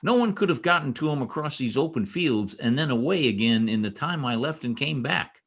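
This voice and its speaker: An actor playing an earnest, insistent young police trooper in an old-time radio drama, speaking with urgent emphasis. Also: male voice, medium pitch, animated, fairly quick, fairly bright tone.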